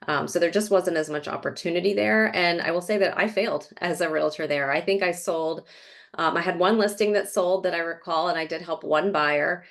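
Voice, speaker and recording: A woman's voice: on the low side, a little fast. A middle-aged woman telling her story in a casual interview, chatty, with lots of fillers. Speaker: real estate agent, guest. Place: Florida.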